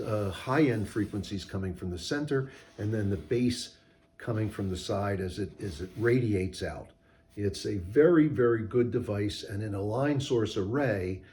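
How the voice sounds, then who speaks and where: A man giving measured, technical, explanatory answers in an interview as a company co-founder. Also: on the low side, darkish tone. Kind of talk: interview answers about product design.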